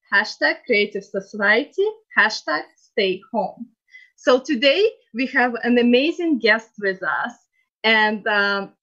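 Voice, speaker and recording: A woman's voice: on the high side, a bit bright, muffled recording. A woman hosting a live interview, reading friendly introductions with some hesitation. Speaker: host.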